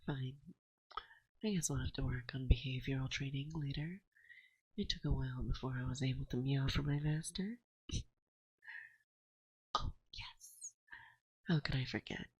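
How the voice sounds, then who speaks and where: A woman playing a dominant, teasing and affectionate forest witch in an ASMR roleplay, speaking in a hushed, breathy voice that often drops to a whisper, close to the microphone. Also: rumbling low, no echo, low-quality recording. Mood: playfully commanding. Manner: slow, soothing, teasing, gently dominant. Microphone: close binaural microphone.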